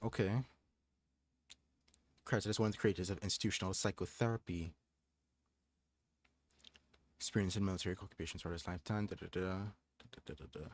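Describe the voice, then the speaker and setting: A man's voice: low voice, fairly even intonation, brisk, pauses often. A young man hosting a livestream, reading from a book aloud and chatting in a thoughtful, hesitant way with frequent restarts. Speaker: livestream host.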